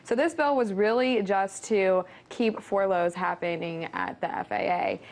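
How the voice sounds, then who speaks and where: A woman's voice: medium pitch, animated, measured pace. A woman giving matter-of-fact, detailed commentary as a financial analyst on a news panel.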